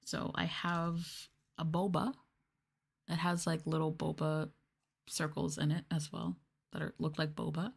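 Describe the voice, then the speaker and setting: A woman speaking softly and intimately in a casual ASMR chat, at times dropping to a whisper. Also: low-pitched, level tone, a little slow, vocal fry, husky. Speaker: streamer, VTuber.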